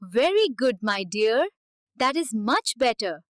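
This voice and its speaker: A woman playing a kind, affectionate village family woman in a cartoon story, speaking gently. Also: fairly high pitch, very animated, takes it slowly, very noisy.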